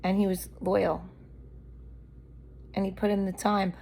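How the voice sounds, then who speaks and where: A woman's voice: mid-range voice, flat delivery, unhurried, creaky, a little mellow, slight background noise. A woman vlogger talking straight to camera in a candid, blunt, rambling monologue, her voice a little worn from allergies.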